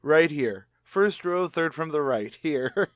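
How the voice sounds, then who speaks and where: A man's voice: a little high, expressive, slow, no echo, clean studio sound. A man playing an easygoing, joking husband and amateur sleuth in a radio mystery drama. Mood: amused, teasing. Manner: joking, playful.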